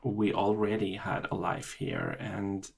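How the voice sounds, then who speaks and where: Low gravelly man telling his story. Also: flat delivery. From Chile. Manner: halting, with many restarts and fillers. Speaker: podcast guest. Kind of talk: personal storytelling interview.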